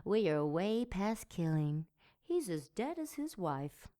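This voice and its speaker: Slow, seductive low-voiced demoness. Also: female voice, sing-song, mellow. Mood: coldly taunting. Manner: slow, mocking, menacing. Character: taunting seductive female demon with a tail, coldly murderous. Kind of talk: audio drama acting.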